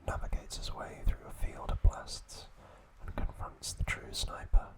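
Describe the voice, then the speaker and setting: A man hosting ASMR, whispering a recap in short, hushed phrases with pauses between them. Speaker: ASMR video host.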